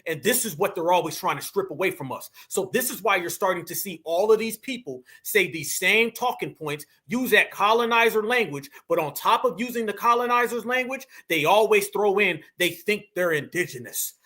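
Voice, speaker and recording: Man ranting to his viewers, high bright voice, real emphatic. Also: lively intonation, normal speed, hardly any pauses. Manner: preachy, emphatic, addresses viewers directly. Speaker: commentary show host. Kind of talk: opinion commentary.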